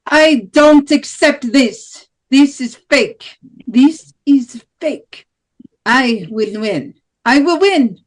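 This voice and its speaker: Woman acting a higher-voiced, defiant nationalist candidate. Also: animated, very slow, exaggerated voice. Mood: angry denial. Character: scheming far-right nationalist female presidential candidate, angry, defiant. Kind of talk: amateur table read of a play.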